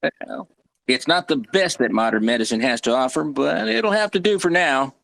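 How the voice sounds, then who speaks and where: A man playing a folksy, wry frontier doctor and former army medic, gravelly and drawling, in a table read of a western. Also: fairly high pitch, expressive, normal speed, fairly bright tone. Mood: wry, matter-of-fact.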